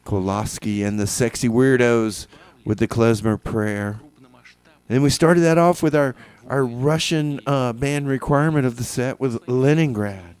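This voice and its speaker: A man's voice: mid-range voice, a little slow, darkish tone, clean tone. A man hosting a music radio show with casual, hesitant, tongue-in-cheek patter, often repeating phrases for effect. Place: radio studio.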